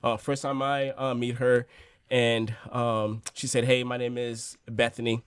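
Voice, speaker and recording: A man in his thirties from Haiti, a podcast guest telling his life story in a relaxed, joking way with many fillers. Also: mid-range voice, unhurried, smooth, no room sound. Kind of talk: casual storytelling.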